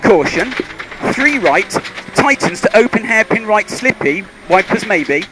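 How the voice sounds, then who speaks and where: High-pitched male rally co-driver calling pace notes. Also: sing-song, measured pace. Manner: clipped, urgent calls in short bursts. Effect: car intercom. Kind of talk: reading pace notes during a rally stage. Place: inside a rally car on a forest stage. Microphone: helmet intercom headset. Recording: car intercom with loud engine and vehicle noise.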